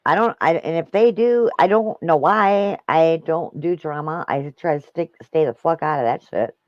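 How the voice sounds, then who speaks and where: A woman's voice: low-pitched, moderate pace, dry recording. A woman from the American Midwest, chatting casually on a stream, nasal from a cold, blunt and swearing freely. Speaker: guest on a live stream. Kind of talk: casual chat. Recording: dull lo-fi stream audio.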